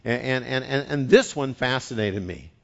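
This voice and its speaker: A man's voice: mid-range voice, animated, normal speed, creaky, a bit bright, dull audio. A man from West Virginia preaching a sermon and telling a story in a chatty, folksy way. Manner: folksy and chatty, repeats words when starting sentences. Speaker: pastor.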